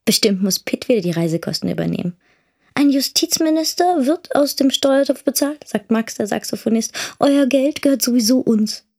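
A woman's voice: high-pitched, expressive, moderate pace, dark tone. An actress playing a wistful teenage girl in a radio drama, confiding intimately. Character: wistful teenage girl missing her boyfriend.

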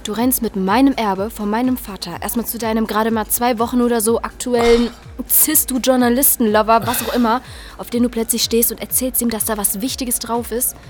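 A woman's voice: fairly high pitch, steady tone, fairly quick, natural voice. A woman playing a guarded, sarcastic young DJ in a naturalistic web drama. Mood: indignant. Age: young adult. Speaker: DJ and online creator. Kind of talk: naturalistic web series acting. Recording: loud music behind the voice. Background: music.

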